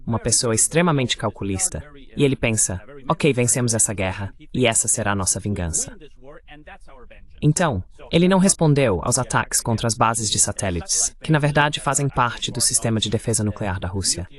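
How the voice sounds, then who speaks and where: A man with a medium-pitched voice speaks as an interview guest in a voice-over translation. He delivers political commentary in an explanatory interview format. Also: expressive, a little fast.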